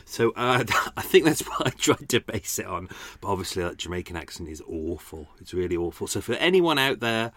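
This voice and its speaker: A man's voice: fairly low pitch, sing-song, fairly quick, fairly bright tone, no room sound. A man hosting a podcast, chatting casually and laughing at himself. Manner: self-deprecating, chatty, laughing often. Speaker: podcast host. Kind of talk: casual chat.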